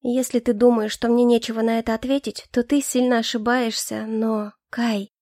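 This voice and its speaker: A proud young queen, torn between love and duty, speaks in an earnest, pleading way that quickly flares up, as a woman reads her. Her voice is fairly high. The recording is dry and close. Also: level tone, mellow, dry recording, natural voice. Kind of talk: audiobook dialogue reading.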